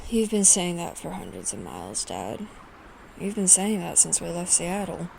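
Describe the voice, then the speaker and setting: An actress playing a sullen, worried teenage daughter in an audio drama, subdued and reproachful. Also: female voice, fairly low pitch, sing-song, measured pace, creaky, background noise, heavily acted.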